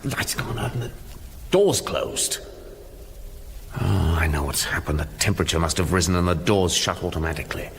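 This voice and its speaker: An actor playing a grumbling middle-aged British factory boss in a radio drama, muttering in a clipped, matter-of-fact way. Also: male voice, low voice, expressive, moderate pace, slight background noise. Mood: irritated, uneasy.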